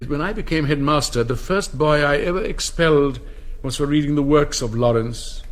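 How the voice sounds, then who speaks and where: An actor playing a pompous elderly English headmaster who lectures in a mannered, declamatory, theatrical way. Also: male voice, fairly high pitch, moderate pace, lightly acted. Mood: exasperated.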